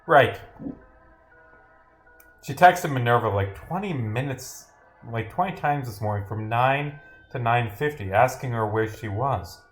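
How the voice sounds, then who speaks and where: A man with a medium-pitched voice streams a playtest in a casual, rambling way. He reads dialogue aloud and breaks off for dry asides, with music playing behind him. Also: lively intonation, unhurried, pauses often. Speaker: streamer, game developer. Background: game music.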